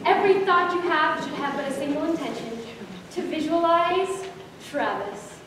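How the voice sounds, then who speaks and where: Gushing teen girl, very high voice. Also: expressive, normal speed, crowd noise. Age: teens. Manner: gushing, melodramatic. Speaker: student performer. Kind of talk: high school readers theater. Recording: echoey room from far off with noise.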